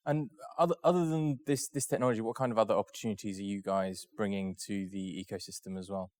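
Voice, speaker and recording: A man's voice: on the low side, steady tone, quick pace, a little mellow, no room sound. A man asking questions as the interviewer in a business interview. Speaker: interviewer, moderator.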